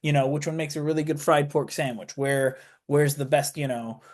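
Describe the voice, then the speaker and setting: A man's voice: fairly high pitch, fairly even intonation, moderate pace. A young man, a student guest on a radio interview, talking casually with lots of fillers and restarts. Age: young adult. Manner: rambling, many fillers and restarts. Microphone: studio mic.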